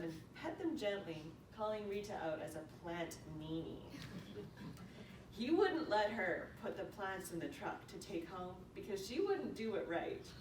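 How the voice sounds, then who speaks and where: Woman reading a eulogy in an echoey room. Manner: storytelling, reading aloud from a prepared text. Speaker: eulogy reader. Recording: echoey room, distant mic, noisy rumble.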